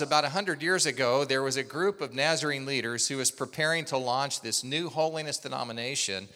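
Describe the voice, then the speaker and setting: Oklahoma-born pastor preaching in a reverberant hall. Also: male voice, a little high, sharp tone. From Oklahoma, USA. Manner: storytelling, asks the congregation questions. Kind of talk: sermon.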